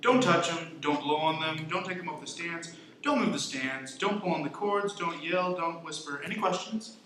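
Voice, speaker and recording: Man playing brisk sound tech, very echoey. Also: a little high, sharp tone. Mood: businesslike. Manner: brisk, instructive. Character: no-nonsense young student sound technician. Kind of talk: amateur radio drama acting. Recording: very echoey room, faint noise.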